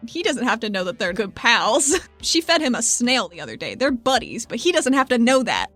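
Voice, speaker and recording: A woman's voice: on the high side, big pitch swings, fairly quick, fairly bright tone, soft background music. A woman playing a tabletop role-playing game, eager and playful, who swears casually. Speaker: player.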